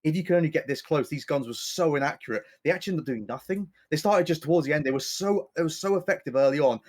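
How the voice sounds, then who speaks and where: A man's voice: on the high side, fast, a little mellow. A man co-hosting a history podcast, talking in a rambling, self-correcting, playful way. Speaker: podcast co-host. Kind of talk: casual history podcast chat.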